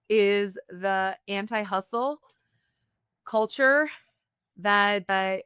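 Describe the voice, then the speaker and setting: A woman from the United States hosting an interview, talking in a candid, reflective way with quick bursts. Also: mid-range voice, fairly bright tone, clear, resonant tone, no room sound, studio quality. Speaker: podcast host. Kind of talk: conversational interview.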